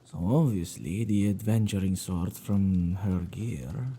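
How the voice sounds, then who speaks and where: A possessive, playful male witch, played by a man for an ASMR roleplay. The voice is very dark and mellow, with a gravelly creak and a husky edge. He muses and teases in a theatrical way. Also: sits low, takes it slowly, exaggerated voice. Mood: musing, playful. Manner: teasing, theatrical, leisurely musing.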